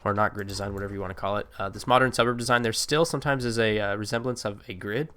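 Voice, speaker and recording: A man talks through his game in a smooth, fairly low voice that sounds a little stuffy from allergies. He rambles casually, correcting himself and filling pauses with "uh". Keyboard typing comes through faintly behind him. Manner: relaxed, rambling, many fillers and self-corrections. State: slightly congested from allergies. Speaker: gameplay video creator. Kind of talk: casual gameplay commentary.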